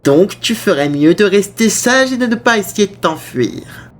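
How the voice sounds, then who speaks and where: A man's voice: sits high, animated, a little slow. A man playing a scheming, grandiose mad scientist bent on world conquest in a cartoon fan dub, pompous and menacing. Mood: gloating, menacing.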